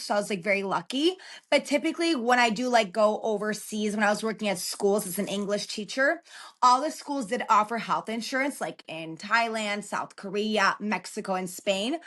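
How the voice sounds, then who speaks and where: A woman's voice: medium pitch, fairly bright tone. A woman in her thirties from Chicago, a guest on a podcast, talking in a chatty, encouraging way with a lot of filler words. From Chicago, United States. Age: 30s. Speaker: podcast guest, relocation consultant. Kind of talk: casual interview talk.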